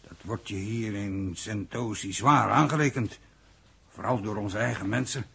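A low, very husky, gravelly man's voice plays a parched underground city-dweller. He is earnest, bewildered and hesitant, in the style of an old 1960s radio play. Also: moderate pace, fairly bright tone, full character voice. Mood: hesitant, puzzled. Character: thirsty, confused, submissive male city-dweller from a future underground society. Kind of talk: 1960s Dutch radio drama acting.

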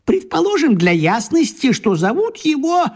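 An actor playing a small, sly, teasing old man with a creaky, husky voice and a drawling, playful way with words in a radio drama. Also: very high-pitched, wildly swooping pitch, normal speed, darkish tone, exaggerated voice. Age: older.

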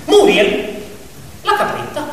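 A man narrating a fable as a theatrical dramatic monologue, with emphatic build-ups and pauses. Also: a bit bright, a little hiss or hum. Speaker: actor and storyteller. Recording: echoey, distant reverberant hall with music.

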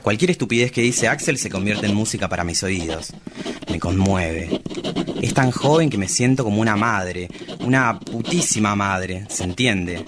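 A lovestruck, motherly cabaret diva played by a man confides in a low Argentine voice. The delivery is theatrical and poetic, as in a diary read aloud for a dramatized comic. Clear noise sits behind the voice. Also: close to an everyday voice. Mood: tender, lovestruck. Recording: clearly noisy room recording.

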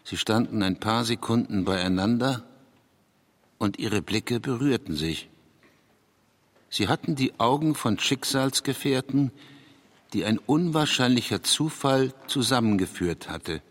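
An actor playing a brooding, hesitant male writer in a literary radio drama, with a husky voice. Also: mid-range voice, expressive, measured pace. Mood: brooding, tender. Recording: long hall-like reverb.

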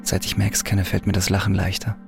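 A brooding convict thinking to himself, super creaky low voice, radio play. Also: male voice, completely flat delivery, fairly quick, soft background music. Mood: brooding, dry. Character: brooding male prisoner's inner voice. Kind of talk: introspective radio drama monologue.

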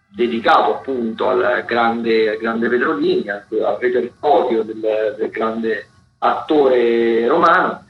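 Guy doing a radio events rundown, keeps hesitating and fixing himself, roomy lo-fi sound. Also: medium pitch. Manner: halting, searching for words, self-correcting. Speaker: theatre critic presenting a weekly events agenda.

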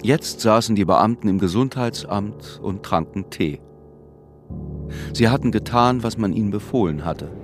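A man's voice: on the low side, normal speed, close to an everyday voice. A man acting as detached narrator of a literary radio play, reading soberly. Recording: music playing behind the voice. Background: music.

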